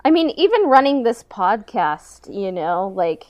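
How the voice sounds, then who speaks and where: A woman's voice: medium pitch, sing-song, unhurried. A woman hosting a podcast in casual, joking chat, sprinkling her sentences with "like" and "you know". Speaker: podcast host.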